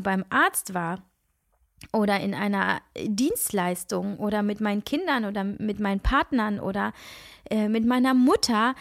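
A woman's voice: medium pitch, no room sound, studio quality. A middle-aged woman who is nasal from a cold, hosting a reflective solo podcast in an earnest, searching way with frequent restarts. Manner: earnest, searching, many restarts and soft asides. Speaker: podcast host and coach.

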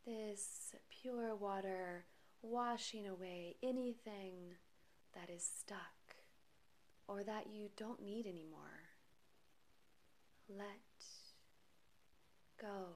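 Woman, meditation guide, soft breathy voice, mid pitch, very slow delivery, calm, soothing, long pauses. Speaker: meditation guide and podcast host.

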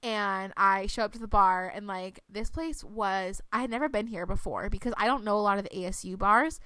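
A woman's voice: medium pitch, a little fast, a bit bright, clean tone, no room sound. A woman in her twenties chatting casually as a podcast co-host, full of fillers and slightly congested. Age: 20s. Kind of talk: casual chat.

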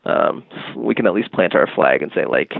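A man's voice: rumbling low, expressive, moderate pace, vocal fry, no room sound. A man being interviewed on a podcast, explaining a product casually and hesitantly with many fillers like "you know" and "um". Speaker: guest, music marketing tech company. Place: Boston. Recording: dry, phone-like narrow band.

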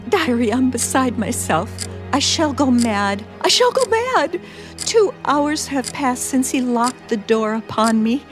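A frightened middle-aged woman in an old radio thriller, high-voiced and slow. She stammers and pleads breathlessly, fraying with dread, with faint music in the recording. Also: big pitch swings. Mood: anguished dread. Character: terrified, frantic middle-aged woman held captive, writing her diary. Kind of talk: old-time radio suspense drama acting.

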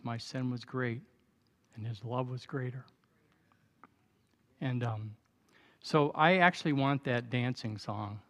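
A man of seventy preaching as a pastor, exhorting the congregation in repeated declarations of thanks and praise. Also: medium pitch. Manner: exhorting, repetitive declarations, breaking into shouted praise. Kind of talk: sermon and altar call. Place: large church hall. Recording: hall reverb with music behind.

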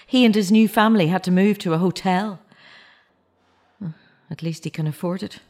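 An actress playing a guilt-racked, middle-aged grieving mother in an audio drama, anguished, halting and hard on herself. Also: medium pitch, darkish tone, lightly acted. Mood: agitated, bitter. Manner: anguished, self-accusing, halting.

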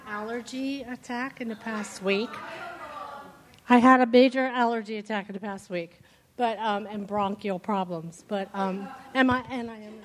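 A congested woman with a tight throat, fairly high-pitched, volunteers from the audience. She rambles and laughs at herself as she explains. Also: normal speed, slight background noise.

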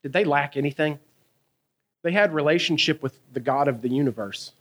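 A man, preaching a sermon in a conversational, animated way, asking his listeners questions and telling stories from his own life. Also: on the high side, a little fast. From American South. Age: 30s. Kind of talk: sermon with personal storytelling.

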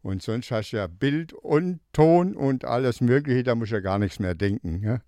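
A man's voice: mid-range voice, animated, moderate pace, mellow, no room sound, studio quality. A man with a Swabian German accent, a visitor chatting cheerfully on live radio and laughing often. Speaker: studio visitor.

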